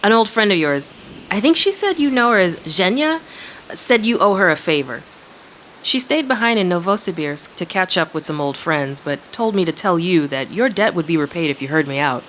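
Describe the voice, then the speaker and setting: A woman's voice: lowish voice, animated, normal speed, a bit bright, slight background noise, poor audio. A woman playing a wry, irreverent adventurer, narrating her story in the first person in a casual, sardonic way for an audio drama. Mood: cool, flippant. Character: wry, irreverent female adventurer and occult investigator.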